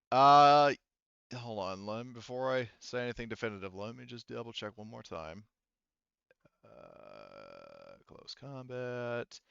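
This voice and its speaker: A man working as game master in an online tabletop roleplaying session, thinking aloud in halting phrases as he narrates and checks rules on a headset mic. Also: lowish voice, creaky, fairly bright tone, clean tone, no room sound, lo-fi sound. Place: online voice call. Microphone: gaming headset.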